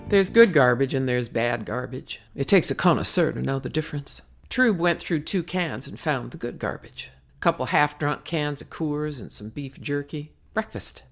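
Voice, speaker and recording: A woman's voice: low voice, expressive, moderate pace, gravelly, soft background music. Woman reading a gritty novel aloud. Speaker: author reading her own novel. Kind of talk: scripted narration, audiobook reading.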